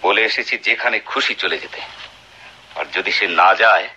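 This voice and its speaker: An actor playing a cruel, contemptuous husband with a violent temper in a radio drama, with sneering taunts and sharp commands. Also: male voice, lowish voice, moderate pace, slight background noise. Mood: impatient, hard. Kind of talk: Bengali radio drama acting. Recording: dull lo-fi radio drama recording.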